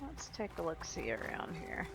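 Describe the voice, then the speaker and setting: A woman's voice: on the low side, huge pitch swings, normal speed, vocal fry. A woman giving casual gameplay commentary, musing aloud in folksy, informal phrasing. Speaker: gamer, let's play host. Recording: game music behind voice.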